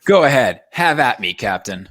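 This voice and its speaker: A man's voice: medium pitch, sing-song, normal speed, a bit bright. A man playing a cocky, laconic male space smuggler in a comedic sci-fi table read.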